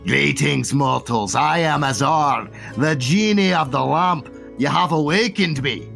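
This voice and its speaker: A man's voice: fairly high pitch, unhurried, creaky, a bit bright, soft background music, pitched above the performer's own voice, exaggerated voice. A man voicing a scheming male genie of the lamp in a grand, commanding stage manner.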